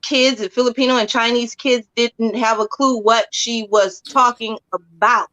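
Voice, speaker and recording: A woman's voice: fairly high pitch, steady tone, a bit bright. Blunt woman guest raised in Hawaii. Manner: blunt, halting, repeats words. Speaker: podcast guest, singer. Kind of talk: casual podcast conversation.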